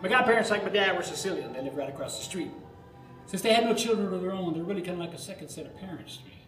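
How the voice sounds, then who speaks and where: An older American man performing a nostalgic autobiographical monologue live, theatrical, with dramatic pauses. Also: high-pitched, music in the background. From Florida, United States. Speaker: storyteller. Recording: roomy live recording with faint noise.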